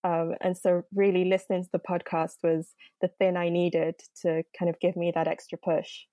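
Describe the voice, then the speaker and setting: A woman's voice: fairly low pitch, flat delivery, normal speed, dark tone, dry recording, studio quality. A young woman from Nigeria, a podcast guest, telling her story in a chatty way with many fillers. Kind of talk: interview conversation.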